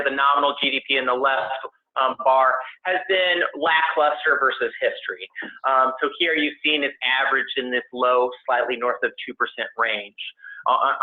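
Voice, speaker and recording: A man giving a technical investment presentation on a conference call, speaking in a halting, hedging way as an analyst. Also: mid-range voice. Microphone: conference call line. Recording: tinny phone-line conference call audio.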